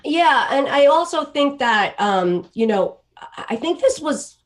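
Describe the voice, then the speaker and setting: A woman co-hosting a political talk show, animated and sarcastic with quick asides. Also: high voice. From New York. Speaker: co-host.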